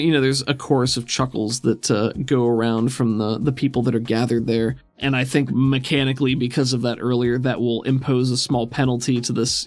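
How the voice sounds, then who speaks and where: A man's voice: medium pitch, a little mellow. A man running a tabletop game as game master, narrating and explaining rulings in a casual, conversational way.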